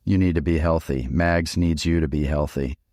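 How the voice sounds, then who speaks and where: Close and dry, a deep-voiced man who is a figment of someone's mind. He is blunt and sardonic in a noir podcast drama, nagging with fatherly care. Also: fairly even intonation, normal speed, a little mellow, dry recording. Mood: concerned, insistent. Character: blunt, nagging hallucinated man, paternal and caring. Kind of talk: audio drama acting.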